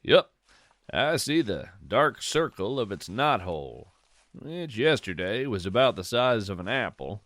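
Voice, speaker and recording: A man tells a cozy, folklore-tinged tale at a slow, measured pace, his pitch moving expressively through descriptive phrases. He slips in musing, conversational asides as he goes. The recording is dry and close, with no room sound. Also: big pitch swings, fairly bright tone, dry recording. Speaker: podcast host and storyteller. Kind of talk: scripted first-person narration of cozy folklore fiction.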